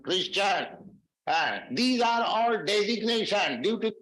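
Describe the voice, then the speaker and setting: Male spiritual lecturer, raised pitch, deliberate phrasing, echoey archive recording. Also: animated, a bit bright. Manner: slow, measured phrasing with Sanskrit verses. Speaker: spiritual teacher giving a lecture.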